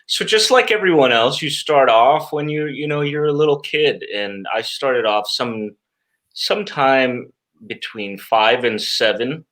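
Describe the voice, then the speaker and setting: A man in middle age answers interview questions as a guest. His pitch sits in the ordinary middle range for a man. He talks conversationally and haltingly, often doubling back on words as he puts his thoughts together. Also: animated, fairly bright tone. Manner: halting, repeats words, frequent "you know". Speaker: interview guest, stage magician.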